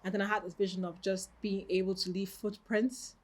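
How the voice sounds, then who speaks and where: Woman, thirties, raised in Austria, calm, reflective, indoor shop. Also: mid-range voice, brisk. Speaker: boutique owner, guest. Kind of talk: interview answers. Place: her boutique, indoors.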